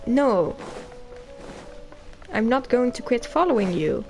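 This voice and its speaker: Female live streamer, casual gameplay commentary, slightly high voice, leisurely pace. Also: expressive, slow, darkish tone. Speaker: game streamer. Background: game music.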